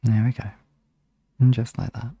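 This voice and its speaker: A man hosting a live ASMR hypnosis session, guiding relaxation in a soft, soothing, gently instructing manner. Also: mid-range voice, flat delivery, normal speed, slightly rough, no room sound, muffled recording.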